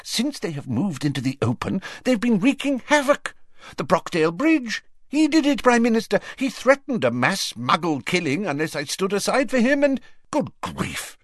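A man's voice: on the high side, sing-song, a little slow, no room sound, studio quality, pitched above the performer's own voice. A man voicing a flustered, defensive and weary older government minister in an audiobook, with agitated, emphatic delivery. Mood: urgent, agitated.

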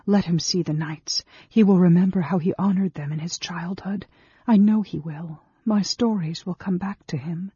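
A man's voice: high-pitched, moderate pace, dark tone, slightly rough, highs cut off, voice pushed up. A man voicing an anxious middle-aged mother in a fantasy audiobook, earnest and imploring. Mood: pleading.

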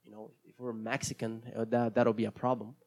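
Gravelly-voiced Brazilian man rambling casually. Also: lowish voice, level tone, brisk, a little mellow. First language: Portuguese. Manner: hesitant, rambling, many restarts. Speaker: podcast host. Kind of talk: casual solo podcast monologue.

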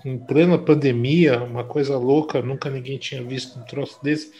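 A man's voice: medium pitch, fairly even intonation, moderate pace, warm tone, muffled recording. A Brazilian man in an interview, talking reflectively with many hesitations and fillers. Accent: Brazilian Portuguese. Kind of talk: conversational interview.

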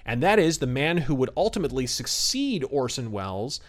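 A man's voice: medium pitch, sing-song, brisk. A man hosting a podcast, giving casual history commentary in a conversational way with wry asides. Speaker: podcast host.

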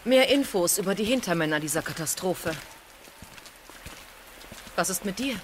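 An actress playing a cool, ruthless secret agent in an audio drama, curt and businesslike. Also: female voice, lowish voice, animated, normal speed, noisy background, lightly acted. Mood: cool, dry.

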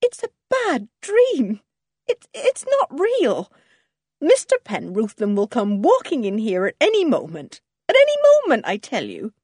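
A woman's voice: mid-range voice, huge pitch swings, normal speed, hardly any pauses, darkish tone, slightly rough, no room sound, clean studio sound, heavily acted. A woman voicing an indignant farmer's wife in a storybook, fiercely loyal to her husband and emphatic in her scolding. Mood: shocked, disbelieving. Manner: emphatic, scolding, repeats herself.

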